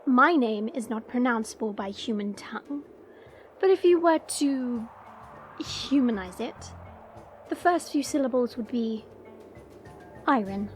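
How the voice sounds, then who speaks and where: A woman's voice: a little high, animated, a little slow, pauses often, soft background music. A woman playing a haughty yet playful young dragon princess in a fantasy audio roleplay, breezy and teasing. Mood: imperious, teasing. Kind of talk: fan audio roleplay acting.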